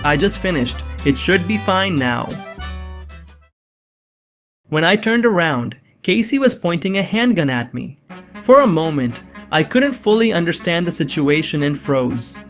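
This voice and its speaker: A man's voice: fairly high pitch, very animated, a little slow, fairly bright tone, soft background music, full character voice. A young man playing a bewildered innocent framed as an assassin, narrating his own story in a scripted drama. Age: young adult. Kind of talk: first-person scripted narration with dialogue.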